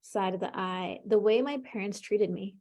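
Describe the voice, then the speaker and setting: A woman with a mid-range voice leads an emotional tapping session. She calls out tapping points and gives short phrases for her client to repeat. She stays calm and encouraging throughout. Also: medium pitch, normal speed, lo-fi sound. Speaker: EFT tapping coach.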